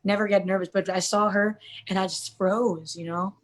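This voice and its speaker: A young woman in her twenties, a guest singer in a relaxed interview. She talks in an easy, chatty way, full of "like" and "um", and often stops and starts her sentences over. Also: medium pitch, moderate pace. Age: 20s. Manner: chatty, many fillers and restarts. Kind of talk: casual interview chat.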